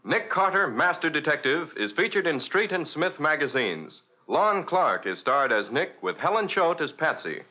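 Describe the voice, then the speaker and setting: A man's voice: a little high, sing-song, normal speed, few pauses, highs cut off. A man with an American accent announcing in a polished, emphatic 1940s radio sponsor style, on an old broadcast recording.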